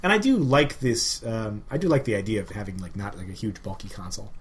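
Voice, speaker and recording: A man's voice: on the low side, quick pace. A man chatting casually as a podcast co-host, joking and wandering into informal asides. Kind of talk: casual chat.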